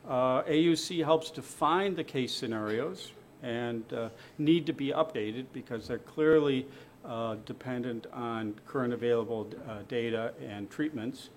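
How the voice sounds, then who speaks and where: A man speaking to a medical panel, pausing often and restarting his sentences. His pitch sits in an ordinary middle range. A faint hum of room ambience sits behind him. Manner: halting, many fillers and restarts. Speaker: panel presenter.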